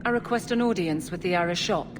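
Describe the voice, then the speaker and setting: A woman's voice: fairly low pitch, fast talker, noisy background, close to an everyday voice. A woman voicing a stern, dutiful female guard captain in a video game, firm, formal and no-nonsense. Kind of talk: video game cutscene acting. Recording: music under the voice.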